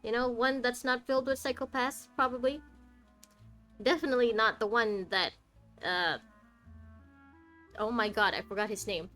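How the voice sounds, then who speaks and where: A woman's voice: fairly high pitch, fairly even intonation, moderate pace, long pauses, pushed and bright. A woman giving casual live gameplay commentary, chatty and playful as she reacts aloud to the game. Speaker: game streamer. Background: game music.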